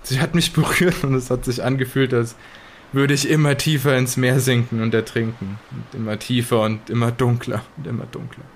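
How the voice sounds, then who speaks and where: Male survivor in an improvised horror audio drama, acted by a man: average pitch, sardonic and rattled, fragmented delivery, slightly noisy recording. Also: steady tone, moderate pace, close to an everyday voice. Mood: haunted, halting.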